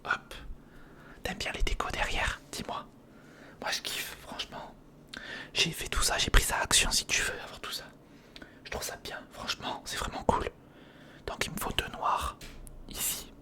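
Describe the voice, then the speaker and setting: A man whispers in a breathy, unvoiced ASMR voice, close to a sensitive mic. He talks informally and reassuringly, guiding the listener step by step through a hands-on build. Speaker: ASMR video creator. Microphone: close sensitive recorder microphone.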